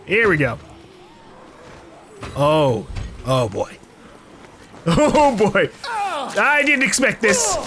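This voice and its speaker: A man's voice: sits high, huge pitch swings, very slow, pauses often, a bit bright, slight background noise. A man giving casual let's play commentary in a playful way, calling out to the game's characters. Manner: playful, calls out to game characters. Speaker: gaming YouTuber, let's play host.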